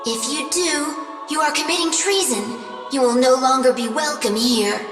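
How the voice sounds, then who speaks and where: An urgent little spirit messenger from a fantasy game, performed by a woman, pleading and pointed. The high voice carries a long reverb, with music underneath. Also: moderate pace, close to an everyday voice. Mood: warning. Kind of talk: video game voice acting.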